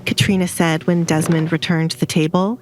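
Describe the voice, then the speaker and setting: A woman reads scripted story narration. Her voice is on the low side, and music plays behind her. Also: flat delivery, brisk.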